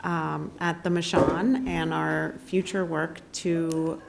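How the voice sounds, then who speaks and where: A woman senior project manager introducing herself on a panel in a steady, plain way. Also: deep voice, takes it slowly, vocal fry, very noisy.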